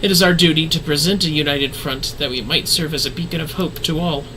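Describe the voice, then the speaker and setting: A man's voice: fairly high pitch, a little fast, background noise, natural voice. A man voicing a refined, earnest woman leader in a fantasy game, speaking in formal, solemn, archaic phrasing. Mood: solemn, stirring.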